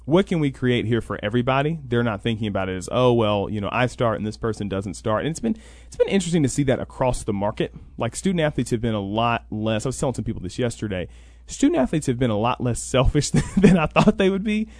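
A man with a medium-pitched voice gives chatty interview answers as a guest. He explains things through little quoted exchanges. The sound is dry and close, with little room. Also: big pitch swings, quick pace, darkish tone, no echo. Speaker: interview guest, college NIL program coordinator. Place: radio sports talk show.

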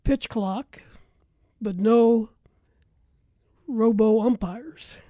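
A man's voice: high-pitched, extremely slow, dark tone, dry recording, clean studio sound. An American man co-hosting a sports talk show, chatting casually.

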